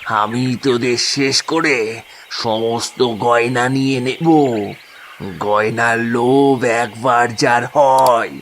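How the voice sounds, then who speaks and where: A man's voice: medium pitch, fairly even intonation, drawn-out words, fairly bright tone, slight background noise. A man playing a greedy, murderous villain in a horror audio story, sinister and gloating. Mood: gloating menace.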